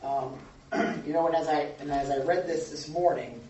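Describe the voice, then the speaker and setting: A man's voice: a little high, steady tone, normal speed, dark tone, recorded from a distance. A pastor speaking in a conversational way to a small group. He asks questions and laughs between points. The sound is dull and lo-fi, taken from across an echoey room with a faint hum behind. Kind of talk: informal Bible study teaching.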